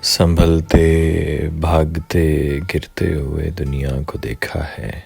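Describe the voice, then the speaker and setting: A man reciting poetry in a measured, deliberate, dramatic way, pausing between short phrases. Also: rumbling low, flat delivery, creaky, darkish tone, slightly rough, soft background music.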